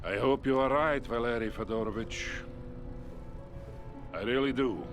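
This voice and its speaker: A male actor plays a seasoned Soviet colonel of middle age in a war game cutscene. He is composed and weary, with quiet pride and a mid-pitched voice. Music plays behind him. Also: normal speed, natural voice. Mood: pensive, weary. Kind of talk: dramatic game cutscene acting.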